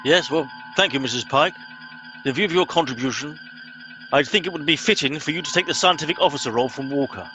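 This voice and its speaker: An actor playing a pompous, blustering middle-aged English starship captain in a comic radio play, giving clipped orders. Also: male voice, on the high side, fast talker, over music, lightly acted. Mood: self-satisfied. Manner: blustering, officious, clipped commands.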